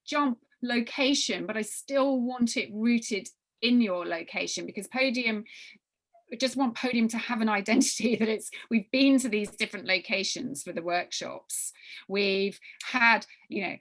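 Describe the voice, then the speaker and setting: A woman's voice: on the high side, unhurried, a bit bright. A woman leading an informal briefing for writers, emphatic and repeating her phrases as she thinks aloud. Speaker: workshop leader briefing young writers. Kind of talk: informal spoken briefing with question and answer.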